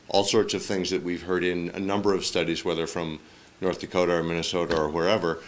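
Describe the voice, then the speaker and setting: A middle-aged man with a very low voice speaks into a podium microphone in a large meeting room. He is measured and lightly wry. A faint hiss sits under the recording. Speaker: resident giving public comment. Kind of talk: public comment at a school board meeting.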